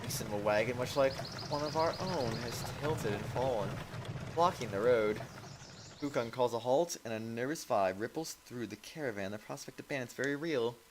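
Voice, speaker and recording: A man's voice: mid-range voice, moderate pace, slight background noise. A man streamer casually reading a visual novel aloud, slipping in jokey asides. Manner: jokey asides, reads punctuation out as sound words. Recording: music behind the voice. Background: game music.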